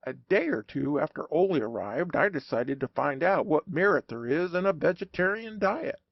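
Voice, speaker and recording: A man narrating a humorous audiobook in an animated, wry storytelling manner. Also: on the high side, moderate pace, creaky, dry recording, muffled recording.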